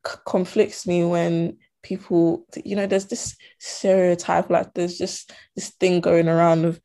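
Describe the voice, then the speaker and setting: A woman's voice: on the low side, flat delivery, a little slow, creaky, mellow. A young woman from Ghana and Togo talking earnestly in a casual conversation, with many fillers and restarts. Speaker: student guest. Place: diaspora.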